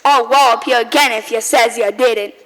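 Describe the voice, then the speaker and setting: A woman acting as an excitable, boastful young urchin boy in a radio drama, with folksy, slangy speech. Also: on the high side, moderate pace, close to an everyday voice. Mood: boastful, cocky. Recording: roomy hall with long reverb.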